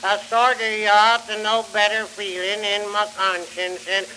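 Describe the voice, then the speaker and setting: A man's voice: high-pitched, level tone, normal speed, bright, forceful tone. A man playing a cheerful, folksy country storyteller with a rural American accent in an early 1900s comic monologue, on a hissy, crackling phonograph recording. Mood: folksy, jovial. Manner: homespun, chuckles between anecdotes.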